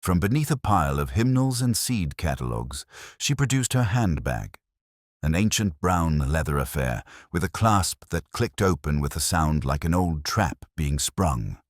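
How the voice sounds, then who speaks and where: A man narrates scripted fiction in a very deep, slightly rough voice. His intonation rises and falls freely, and the recording is close and dry. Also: sing-song, measured pace, no room sound.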